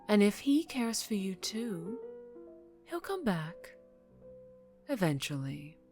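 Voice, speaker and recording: Slow, low-voiced mafia boss played by a woman. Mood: coolly threatening. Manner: teasing, unhurried, softly threatening, with whispered phrases. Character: dangerously charming female mafia boss, teasing, menacing, controlled. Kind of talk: ASMR roleplay acting.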